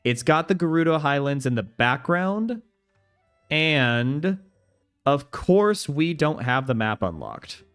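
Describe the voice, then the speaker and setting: A man's voice: fairly high pitch, big pitch swings, slow, a bit bright, clear, resonant tone, faint music behind. A young man streaming a video game, chatting casually with his viewers, reading their messages aloud and laughing easily. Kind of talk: casual gameplay commentary.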